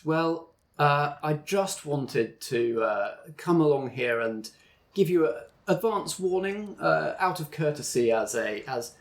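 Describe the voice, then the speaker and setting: Guy playing a smug suited sorcerer boss, fake-polite, lots of uhs. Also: mid-range voice. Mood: oily polite. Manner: falsely polite, hesitant and condescending. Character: smooth, smug male corporate sorcerer in a suit.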